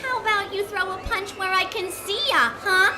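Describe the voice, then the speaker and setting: An actress playing a feisty, stubborn young sidekick with a brassy, wisecracking manner in an old-style radio detective drama. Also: female voice, extremely high pitch, fairly even intonation, normal speed, noisy background. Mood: confrontational. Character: feisty, stubborn young woman sidekick, sassy acrobat librarian. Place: theater stage, live performance.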